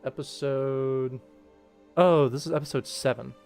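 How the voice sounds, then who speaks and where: Casual man streaming over loud music. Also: medium pitch, steady tone, measured pace, vocal fry. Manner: informal, thinking aloud while working. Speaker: animation production streamer. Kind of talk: casual livestream work commentary.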